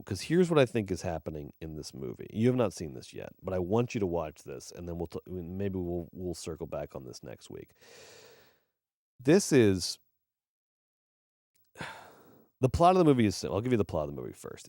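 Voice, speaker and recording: Rambling, profane man with a low voice. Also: a little mellow, dry recording, clean studio sound. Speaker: podcast co-host. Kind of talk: casual movie review chat.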